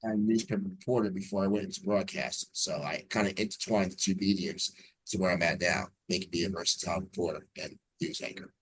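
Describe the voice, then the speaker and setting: A man's voice: low voice, fast talker, crowd noise, poor audio. A man in his forties from New Jersey, a radio news anchor telling his story as an interview guest in an upbeat, fluent way over a video call.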